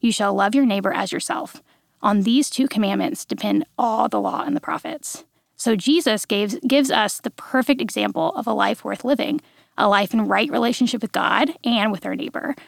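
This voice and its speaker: Woman, 30s, podcast guest, bubbly, raspy fry, dry studio sound. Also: on the high side, no room sound. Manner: bubbly, quick to laugh. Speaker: podcast guest, devotional writer. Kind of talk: casual chat and devotional teaching.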